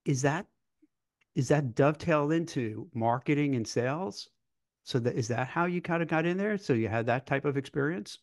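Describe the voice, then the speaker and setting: A man's voice: a little high, expressive, normal speed. A man co-hosting a podcast, asking casual, rambling questions and restarting them as he goes. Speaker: podcast host.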